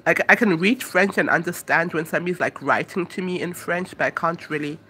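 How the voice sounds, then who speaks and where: A woman's voice: lowish voice, brisk, bright, forceful tone, husky. A young woman from Africa chatting casually to camera as a vlogger, rambling and hesitant with lots of fillers.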